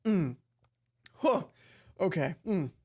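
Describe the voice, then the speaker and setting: A woman's voice: fairly low pitch, sing-song, slow, a little mellow. A woman reading a visual novel aloud as a let's play host, adding her own asides. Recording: background game music. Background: music from the game.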